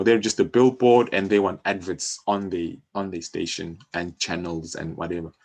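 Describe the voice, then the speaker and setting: A man with a South African accent speaks in a low register. He explains things in a casual, conversational way, like a guest in an interview, often checking that the listener follows. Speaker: music publishing company co-founder, interview guest.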